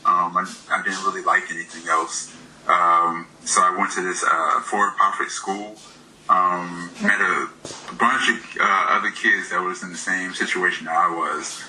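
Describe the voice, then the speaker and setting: A man's voice: low voice, level tone, normal speed, bright, forceful tone, slight background noise, low-quality recording. A man in his thirties from Michigan, an indie game developer, talking casually in an interview, hesitant with many fillers and laughs, his voice slightly worn.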